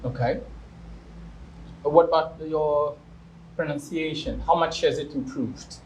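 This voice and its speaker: Probing male interviewer, slightly high. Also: slow pace, slight background noise, rough recording. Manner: probing, presses with repeated questions. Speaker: interviewer, English teacher. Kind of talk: interview questions.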